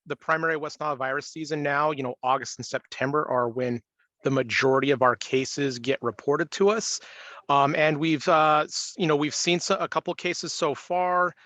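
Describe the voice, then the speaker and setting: A man's voice: on the high side, steady tone, normal speed, sharp tone, no echo. A man presenting an update in a meeting, conversational and loosely phrased. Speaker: public health surveillance presenter.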